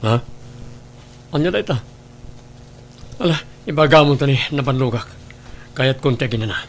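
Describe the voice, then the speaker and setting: A man's voice: mid-range voice, lively intonation, moderate pace, a little hiss or hum, close to an everyday voice. A man acting a defensive husband, Ilocano accent. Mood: defensive, irritated. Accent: Filipino, Ilocano. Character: evasive husband hiding an affair, defensive with his wife. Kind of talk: Ilocano audio drama acting.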